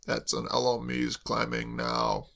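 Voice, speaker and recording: A man voicing a mournful, doom-laden male servant, speaking slowly and heavily. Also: level tone, creaky, a bit bright, heavily acted. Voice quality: deep, slow, heavy. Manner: mournful, dwells on disasters. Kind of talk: audiobook character voice.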